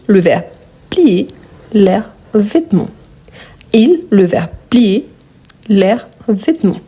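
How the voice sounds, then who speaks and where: A woman's voice: medium pitch, dark tone, slightly rough. A French teacher whose first language is Portuguese, speaking with a Brazilian accent as she patiently walks through grammar during a live lesson. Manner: patient, repeats and spells out endings.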